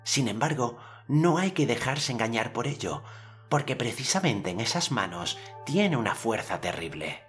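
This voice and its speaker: A young boy hero with a solemn, formal manner of speech, as a male narrator puts him on. He speaks at a middle pitch, well above the narrator's own deep voice, over faint music. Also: very animated, moderate pace, natural voice. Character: earnest boy hero, commanding storyteller.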